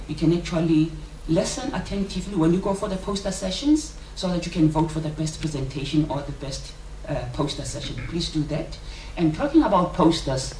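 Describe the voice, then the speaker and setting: A woman's voice: deep voice, mellow. South African woman addressing delegates, roomy sound. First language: South Sotho. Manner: jokey, colloquial, addressing colleagues. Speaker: conference organiser giving welcome and housekeeping announcements. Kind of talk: informal conference announcements. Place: indoor conference venue, speaking to delegates. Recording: roomy, distant microphone, clear background noise.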